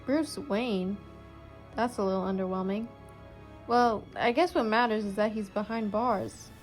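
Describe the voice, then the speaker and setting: A woman's voice: medium pitch, a little slow, faint music behind, natural voice. A woman acting an eager, friendly young woman in an amateur radio play. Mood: curious, casual.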